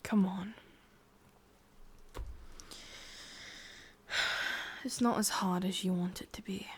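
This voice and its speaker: A woman's voice: medium pitch, fairly even intonation, a little hiss or hum, lightly acted. Woman acting a whispering, possessive English witch. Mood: cold, possessive. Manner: slow, breathy, hushed coaxing with pauses. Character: sadistic, possessive female witch, coaxing and merciless. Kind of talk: ASMR whispered roleplay.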